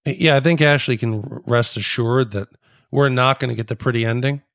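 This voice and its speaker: Guy on a podcast, normal pitch, casual review chat, no room sound. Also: brisk, vocal fry, a bit bright, dry recording, clean studio sound. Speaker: podcast host.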